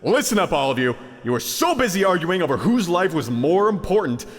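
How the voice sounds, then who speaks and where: Swimming in long reverb, an exasperated godlike cartoon being acted by a man talks in a lively, swooping voice. He is earnest and hesitant, and easily flustered while presiding over his heroes. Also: high-pitched, fairly quick. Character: exasperated, godlike giant hand presenting his chosen heroes. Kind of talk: animated comedy acting.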